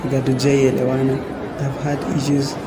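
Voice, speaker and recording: A man's voice: mid-range voice, monotone, normal speed, natural voice. A man acting as a troubled, hesitant student confiding in a friend in a radio drama. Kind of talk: radio drama dialogue, code-switching casually. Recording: echoey, noisy public space with crowd.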